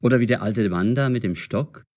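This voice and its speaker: A man playing a friendly young man caught in a mystery, speaking clearly and deliberately in an audio drama. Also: mid-range voice, a little mellow, close to an everyday voice. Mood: pondering.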